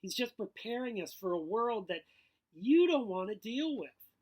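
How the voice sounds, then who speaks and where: A man's voice: high-pitched, sing-song, normal speed, voice pushed up. A man voicing an earnest, indignant teenage schoolboy from a novel, speaking emphatically and accusingly.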